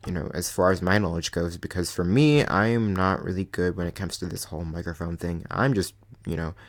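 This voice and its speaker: A man's voice: sits low, brisk. A young man hosting his own channel, casually rambling through a microphone review with lots of fillers and self-deprecating asides. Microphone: desk USB microphone.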